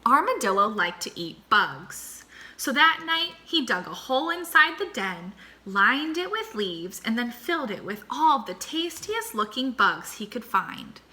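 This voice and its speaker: A woman teacher reading a picture book aloud to children, slow and expressive, then posing questions to them. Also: fairly high pitch. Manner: slow, expressive and warmly animated.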